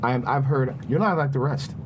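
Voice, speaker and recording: A man from suburban Maryland chatting on a podcast, emphatic and animated, repeating himself for stress. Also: medium pitch, moderate pace, lo-fi sound, noisy background. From suburbs of Prince George's County, Maryland. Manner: animated, emphatic storytelling with repetitions. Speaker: podcast guest. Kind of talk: casual podcast chat.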